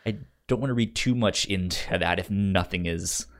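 A young adult man co-hosting a podcast, talking film casually in a tentative, halting way, often repeating syllables. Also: low voice. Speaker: podcast co-host.

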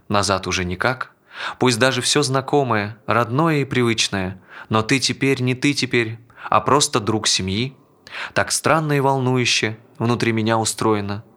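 A man's voice: medium pitch, sharp tone. A man performing a rhythmic verse monologue in an audio play. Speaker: actor reciting in an audio play.

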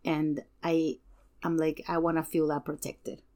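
A woman in a casual film discussion, speaking earnestly and emphatically and pausing to search for words. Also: low-pitched, little pitch movement, warm tone. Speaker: podcast guest.

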